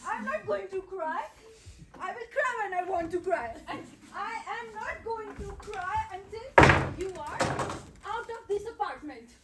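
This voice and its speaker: An actress playing an impulsive, emotional young newlywed wife, talking in a heated, emphatic way. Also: female voice, very high voice, very animated, normal speed. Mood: furious. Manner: heated, emphatic, swings to hushed. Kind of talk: stage play acting. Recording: echoey room, distant mic, noise.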